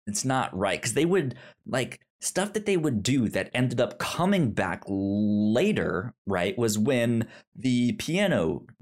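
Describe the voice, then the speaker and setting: Slow talking guy, kinda low voice, stammers and repeats words, rambling casual movie chat. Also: sing-song. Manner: halting, rambling. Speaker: podcast co-host reviewing films.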